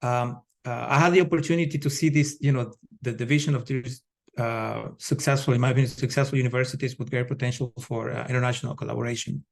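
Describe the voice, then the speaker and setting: A man's voice: medium pitch, steady tone, quick pace, gravelly. Man from ecuador, polite panel talk, lots of uhs. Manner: polite, frequent "uh" fillers. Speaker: panelist, education professor. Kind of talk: panel discussion remarks.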